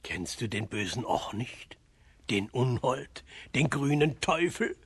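A man's voice: mid-range voice, lively intonation, slow, fairly bright tone, slightly rough, heavily acted. An actor playing a distraught village father in a children's radio play, telling things in a plaintive, dramatic way. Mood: grim, warning.

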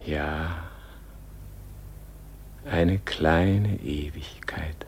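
A man playing a dying, serene Gandhi in a solemn radio play, speaking in a gentle, contemplative, weary manner. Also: extremely low pitch, steady tone, very slow, a little hiss or hum, full character voice.

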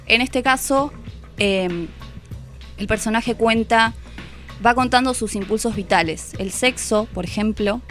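A woman's voice: a little high, unhurried, fairly bright tone. An Argentine woman giving a conversational book review on the radio, thoughtful and hesitant, rephrasing as she goes. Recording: music playing under the voice. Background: background music.

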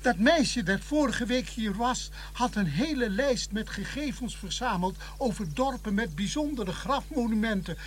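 An actor playing a fussy, scatterbrained village vicar in a Dutch radio play, hurried and dithering, often repeating himself. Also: male voice, very high voice, animated, a little hiss or hum. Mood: dithering, excited.

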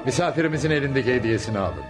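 Solemn, expressive Sufi master played by a man. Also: mid-range voice, racing, over music, close to an everyday voice. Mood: calm, welcoming. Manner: solemn, preacherly, fatherly. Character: kindly, wise male Sufi master. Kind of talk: Turkish radio theatre acting.

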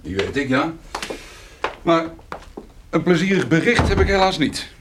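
An actor playing a pompous, wheedling village notary in a radio play, patronising and sometimes hesitant. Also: male voice, a little high, lively intonation, unhurried, noisy background. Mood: insinuating.

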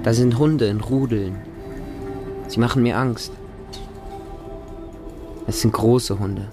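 A man's voice: mid-range voice, takes it slowly, music in the background, natural voice. A man playing a lonely, naive small homeless boy who narrates his life in a radio drama in short, plain sentences. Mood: lonely, wary.

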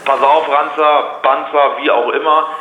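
Bright, forceful man, blunt and stammering. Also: fairly high pitch, steady tone, measured pace, echoey room, a little hiss or hum, rough recording. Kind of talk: annoyed phone argument.

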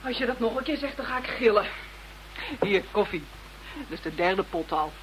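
A woman's voice: medium pitch, lively intonation, normal speed, natural voice. An actress playing a cheeky, outspoken female assistant on a police team in a radio play. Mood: cheeky, exasperated. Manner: sassy, outspoken. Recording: noisy radio drama recording.

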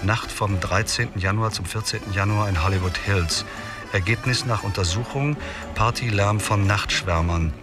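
A man voices a police report, read in a dry, matter-of-fact way with a low voice. Music plays clearly beneath the reading, as in a radio drama. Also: normal speed, close to an everyday voice. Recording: music under voice.

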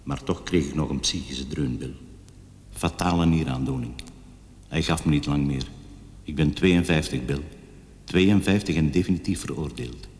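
A bitter, doomed middle-aged man reading out his last confession in a radio play. The voice is extremely deep and grim, and it hangs in a long, hall-like reverb. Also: moderate pace, a little mellow, a little hiss or hum. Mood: bitter, resigned. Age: 50s. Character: bitter, doomed man of fifty-two, voicing suicide letter. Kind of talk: Dutch radio play monologue.

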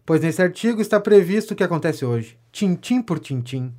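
An actor playing a vain, self-important gentleman pamphleteer in a Brazilian audio drama comedy, declaiming pompously and flattering as he goes. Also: high voice, normal speed. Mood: self-satisfied, fawning. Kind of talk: audio drama of a 19th-century stage comedy.

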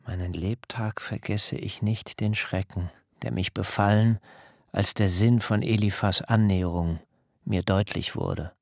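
An actor playing a soft, dignified patriarch who recalls his humiliation in solemn, measured words for a radio drama. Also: on the low side, fairly even intonation, dry recording, studio quality. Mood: grave, haunted. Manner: solemn, measured first-person recollection. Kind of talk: literary radio drama monologue.

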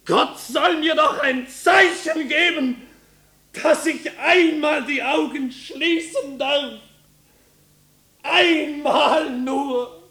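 An actor in a 1950s German radio drama playing a tormented young wanderer who pleads with God in despair, moving between breathy whispers and ringing cries. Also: male voice, very high voice, extremely slow, pushed and bright, exaggerated voice. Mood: desperate pleading. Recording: noisy 1950s radio recording with hum.

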